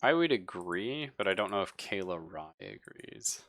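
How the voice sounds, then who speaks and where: A man running a tabletop roleplaying game as game master, narrating casually and haltingly with informal, sweary wording. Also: low-pitched, sing-song, vocal fry, fairly bright tone, no echo.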